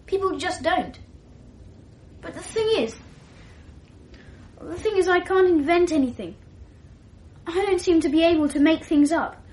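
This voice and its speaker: A woman's voice: extremely high pitch, measured pace, long pauses, a little mellow, a little hiss or hum. A woman playing a clever, self-assured twelve-year-old English schoolboy who talks in a precocious, wordy, self-deprecating way, in a radio drama. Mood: reflective. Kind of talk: 1980s radio drama acting.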